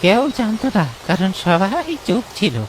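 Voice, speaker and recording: A man voicing the anguished ghost of a bullied boy, plaintive and accusing, in an animated horror story. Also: high-pitched, normal speed, slight background noise, pitched above the performer's own voice. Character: anguished vengeful ghost of a bullied boy who hanged himself.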